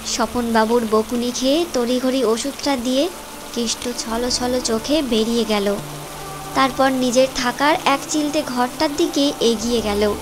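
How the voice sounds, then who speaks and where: A woman's voice: a little high, steady tone, normal speed, background noise. A woman reading scripted storytelling narration for a moral cartoon. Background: music.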